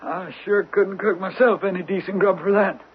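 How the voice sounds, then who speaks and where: A man's voice: sits high, fairly even intonation, moderate pace, over loud music, close to an everyday voice. A man in a radio drama playing a folksy, rambling American detective who poses as an affable hunter. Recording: thin phone-like old radio, noisy.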